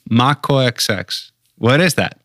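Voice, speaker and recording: A man's voice: medium pitch, lively intonation, slow pace, fairly bright tone, no echo, studio quality. A man co-hosting a podcast, chiming in with brief teasing remarks. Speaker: podcast co-host. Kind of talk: casual podcast chat.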